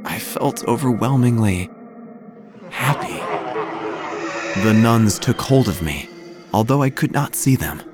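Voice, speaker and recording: A man playing a quirky investigator who narrates his own tale in a theatrical, deadpan, wry way. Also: medium pitch, slow pace, pauses often. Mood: ominous, melodramatic. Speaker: narrator and lead actor of an audio drama. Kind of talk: scripted first-person noir-like narration. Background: music.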